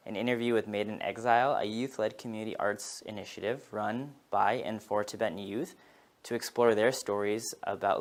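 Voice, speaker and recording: Low-voiced man announcing a program. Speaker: festival announcer. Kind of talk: scripted announcement.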